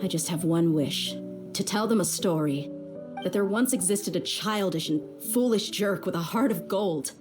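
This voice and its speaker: A woman's voice: fairly low pitch, moderate pace, music in the background, close to an everyday voice. An actress playing a tough young woman mercenary in a video game, dry and weary with tenderness underneath. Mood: wistful. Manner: dry, weary, plain-spoken. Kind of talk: video game character dialogue.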